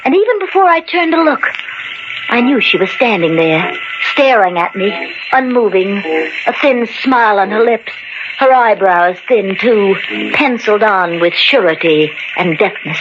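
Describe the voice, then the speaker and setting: An actress playing a haunted, frightened young woman in a melodramatic, intense 1940s radio drama style with dramatic pauses. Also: medium pitch, expressive, natural voice. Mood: haunted dread. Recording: muffled vintage radio recording with loud music.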